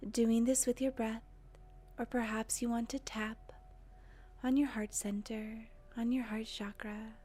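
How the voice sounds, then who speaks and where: A woman leading a guided meditation in a gentle, breathy voice with long, unhurried pauses. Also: on the high side. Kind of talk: guided meditation, soothing narration.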